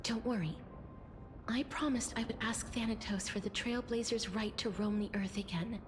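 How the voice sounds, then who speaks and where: A woman playing a gentle, solemn young woman who tends to death in a video game, speaking softly and often in a whisper. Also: a little high, fairly even intonation, normal speed, close to an everyday voice. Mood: calm, grave. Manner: soft, breathy, often whispering. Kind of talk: dramatic video game voice acting. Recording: game music behind the voice.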